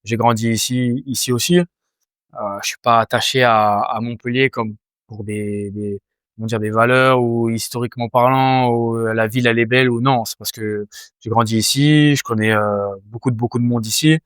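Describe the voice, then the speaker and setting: A man's voice: mid-range voice, steady tone, moderate pace, fairly bright tone, smooth, no room sound, clean studio sound. A man in his twenties from France, a fighter answering interview questions casually, hesitant with many fillers and restarts. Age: 20s. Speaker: Muay Thai fighter, guest.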